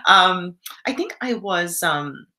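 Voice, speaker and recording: Low, bright-toned Canadian woman chatting. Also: animated, slow pace. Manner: warm and reflective, reads chat comments aloud. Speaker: live stream host. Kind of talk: casual live stream chat.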